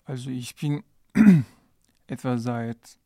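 A man's voice: mid-range voice, expressive, slow pace, mellow, no echo, clean studio sound. A man, a student guest in a podcast interview, speaking German hesitantly with many filler sounds. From Turkey. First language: Turkish. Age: 20s.

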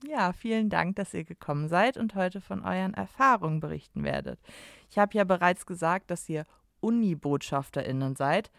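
A woman's voice: on the low side, expressive, normal speed, vocal fry, no room sound, studio quality. A young adult woman hosting a university podcast, asking questions in a friendly, encouraging way. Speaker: podcast host, university staff. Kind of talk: podcast interview.

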